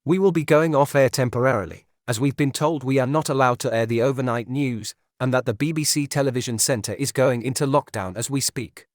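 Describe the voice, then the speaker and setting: A man playing a grave emergency broadcast announcer, reading a scripted bulletin in a formal, measured way. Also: medium pitch, dry recording, studio quality, lightly acted.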